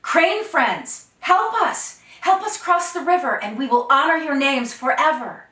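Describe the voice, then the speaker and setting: A woman voicing a confident, boastful young man in a told folktale, loud and emphatic. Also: high voice, lively intonation, a little slow, pitched above the performer's own voice, heavily acted. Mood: urgent pleading. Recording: roomy echo, distant microphone.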